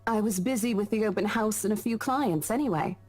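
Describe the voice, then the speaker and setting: A woman's voice: medium pitch, fairly quick, a little mellow, lightly acted. An actress playing a courteous, professional realty woman in a visual novel game. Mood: courteous, businesslike. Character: courteous, professional woman running an open house. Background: music.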